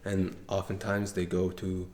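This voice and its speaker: A man hosting an interview, asking halting questions filled with ums and uhs. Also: low-pitched, monotone, heavy background noise. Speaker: podcast host. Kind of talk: casual interview conversation. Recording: echoey room.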